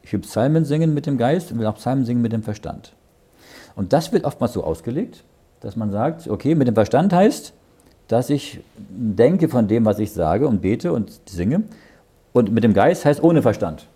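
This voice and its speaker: A man's voice: medium pitch, sing-song, moderate pace, warm tone, husky. A man leading a Bible study. He reads verses aloud, explains them in plain words and often checks with "ja?". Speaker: Bible teacher.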